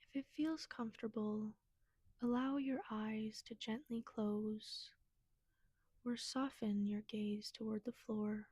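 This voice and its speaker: A woman leading a guided meditation in a gentle, soothing, soft and breathy manner. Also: fairly high pitch, fairly even intonation, slow, dry recording.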